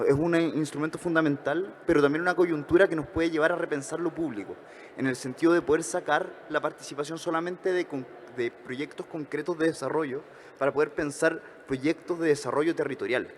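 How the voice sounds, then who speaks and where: A man with a Chilean accent giving reflections at a conference workshop, talking fast with frequent hesitations and self-corrections. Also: on the high side, long reverb tail, slight background noise. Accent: Chilean Spanish. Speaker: conference speaker.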